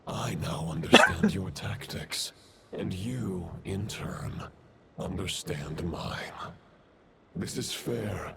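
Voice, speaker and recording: An actor playing a grim, solemn corrupted knight in a video game, gravelly and archaically formal. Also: male voice, deep voice, slow, heavy background noise, full character voice. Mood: cold, solemn. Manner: archaic, formal, portentous declarations. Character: grim corrupted knight villain, solemn, chivalrous leader of a spectral horde. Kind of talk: dramatic video game voice acting. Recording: loud music behind.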